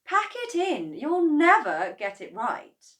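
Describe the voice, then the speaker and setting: Lady doing a fussy whiny mum, shrill and high. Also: wildly swooping pitch, slow, a bit bright, exaggerated voice. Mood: scornful. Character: fretful nagging mother, farmer's wife.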